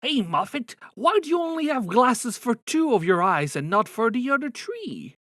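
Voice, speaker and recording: A man's voice: extremely high pitch, very animated, normal speed, a bit bright, no room sound, studio quality, soft background music, exaggerated voice. A man playing an inquisitive, casual asker in a cartoon comic dub. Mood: curious.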